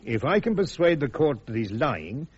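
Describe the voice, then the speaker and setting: A man playing a defiant, sharp British army major with a clipped, ironic English manner in a radio drama. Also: medium pitch, big pitch swings, normal speed, lightly acted. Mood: dry, confiding. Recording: dull, muffled radio drama recording.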